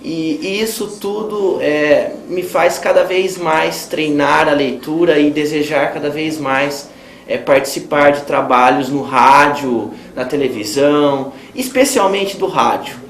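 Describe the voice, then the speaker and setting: Higher-voiced Brazilian man in an echoey room. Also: measured pace, fairly bright tone. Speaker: teacher giving a testimonial. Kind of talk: prepared personal testimonial. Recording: roomy echo, faint ambient noise.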